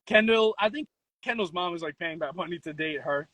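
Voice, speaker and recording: A man speaks in a high, sharp voice, recorded close and dry. He is an interview guest telling stories casually, with slang and strong opinions. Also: fairly quick, dry recording. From the Bronx, New York. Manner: animated storytelling, slangy, switches into Spanish. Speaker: interview guest, content creator.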